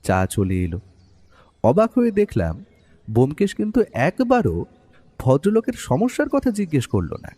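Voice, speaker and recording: An actor playing the detective's observant friend, narrating a mystery story in a husky voice with long reverb. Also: male voice, fairly high pitch, wildly swooping pitch, moderate pace, long pauses, warm tone, heavily acted. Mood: reflective. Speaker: first-person narrator. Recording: long reverberant hall-like echo.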